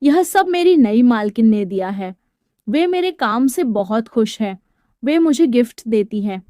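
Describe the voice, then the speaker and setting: Lady doing a sassy evasive housemaid wife in a story, dismissive comebacks, high pitched. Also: expressive, measured pace, warm tone, no room sound, clean studio sound. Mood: defensive. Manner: retorting, dismissive. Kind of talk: dramatised Hindi moral storytelling.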